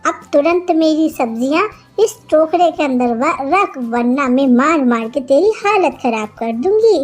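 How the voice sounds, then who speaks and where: A woman's voice: high-pitched, lively intonation, a little slow, a little mellow, faint music behind. A woman voicing a feisty female sparrow in a kids' cartoon, with an emphatic, singsong, scolding manner. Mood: angry, scolding. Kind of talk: Hindi kids' cartoon character voice.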